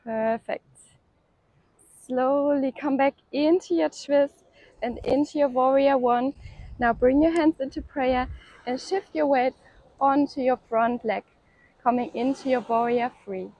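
A woman with a bright, high voice talks a class through a slow yoga flow, pacing each cue to the breath and cheering the listener along. The sound is close and dry, with no room around it. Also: no room sound, highs cut off. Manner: calm, encouraging cueing of breath and poses. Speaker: yoga instructor. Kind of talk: guided workout instruction.

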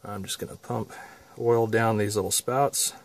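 A man's voice: on the low side, steady tone, normal speed. A man presenting a hands-on repair tutorial in a casual, unscripted, folksy way, talking himself through the work. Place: indoor electronics workshop. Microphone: handheld phone camera.

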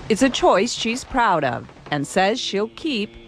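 A woman news correspondent delivers scripted report narration. Her intonation moves in a lively way, and the sound is heavily echoed. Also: medium pitch, slow pace.

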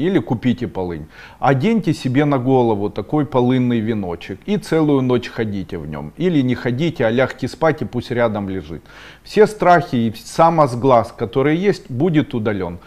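Blunt man hosting an esoteric webinar. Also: medium pitch. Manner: blunt, scolding, with sharp rising outbursts. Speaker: esoteric webinar host answering viewer questions. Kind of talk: livestream Q&A, reading chat questions aloud.

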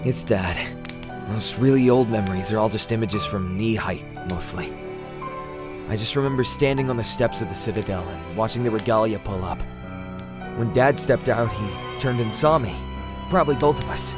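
A man's voice: fairly low pitch, moderate pace, natural voice. A man as an offhand young prince. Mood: reflective. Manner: casual, offhand. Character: young prince, teasing but caring twin brother. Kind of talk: audio drama acting, casual dialogue. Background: music.

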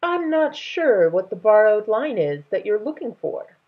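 A woman voicing a kindly, regal king in a storybook, speaking in a measured, emphatic way. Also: mid-range voice, very animated, husky, dull audio, voice pushed up. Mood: explaining. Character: kindly, regal male king telling family history.

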